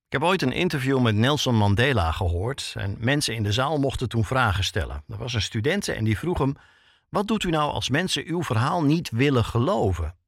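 A man's voice: mid-range voice, expressive, a little fast, no echo, clean studio sound. A man hosting a podcast with scripted documentary narration, told like a story. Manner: storytelling, with rhetorical questions. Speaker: podcast host and reporter.

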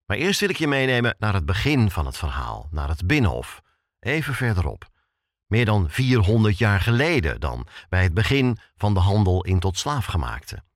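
A man's voice: sits low, no room sound, studio quality. A man hosting a podcast with scripted, story-led narration that addresses the listener directly. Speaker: podcast host.

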